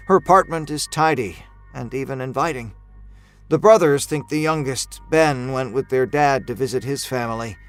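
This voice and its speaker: A man narrating a dark short story in a measured, literary and dramatic way. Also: mid-range voice. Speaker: fiction narrator. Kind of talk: scripted dark-tale audiobook narration. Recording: music bed under the voice. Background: music score.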